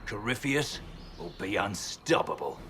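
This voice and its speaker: An actor playing a bitter, fanatical armored general in a video game, speaking with menacing, boastful deliberation over background noise. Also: male voice, lowish voice, big pitch swings, a little slow. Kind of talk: dramatic video game acting.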